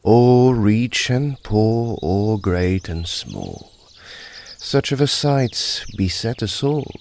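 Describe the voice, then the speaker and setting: A man recites verse in a theatrical, measured style. His voice is on the lower side and swoops expressively, with a slow, deliberate delivery. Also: very animated, gravelly, darkish tone. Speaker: poetry reader. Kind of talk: dramatic poetry recitation.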